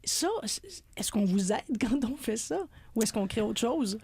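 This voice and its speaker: A woman journalist leads an interview, asking long probing questions filled with pauses. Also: fairly high pitch, gravelly, mellow, husky.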